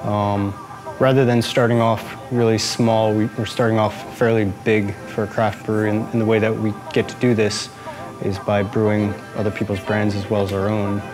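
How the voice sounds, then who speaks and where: A man in his thirties at a brewery, answering interview questions earnestly and haltingly, often repeating words. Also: lowish voice, flat delivery, over music, rough recording. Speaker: brewery manager. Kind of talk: interview and plant tour.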